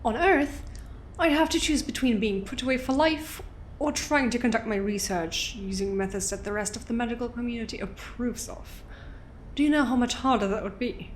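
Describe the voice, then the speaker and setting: A woman's voice: a little high, moderate pace, slight background noise, natural voice. A woman playing an unrepentant, smugly amused exiled doctor in an audio drama, articulate and theatrical with a sardonic edge. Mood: scornful amusement.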